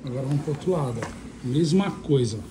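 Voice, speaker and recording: A man's voice: medium pitch, animated, brisk. Brazilian man, casual tutorial, noisy recording. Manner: informal, short phrases with frequent check-in tags. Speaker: motovlogger demonstrating a how-to. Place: indoors, tiled kitchen. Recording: noisy phone recording with handling rustle.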